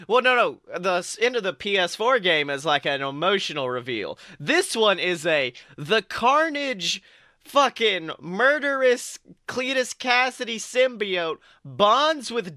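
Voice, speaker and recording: An excitable man co-hosting a podcast, his voice sitting high and ringing bright. He swears freely and laughs a lot as he chats, and the sound is close and dry with no room echo. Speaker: podcast co-host. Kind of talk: casual pop-culture chat.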